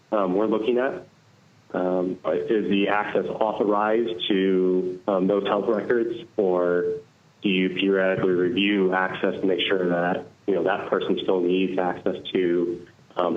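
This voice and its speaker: A man consultant calling in to a meeting, explaining audit work steadily with many ums. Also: low voice. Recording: tinny phone line.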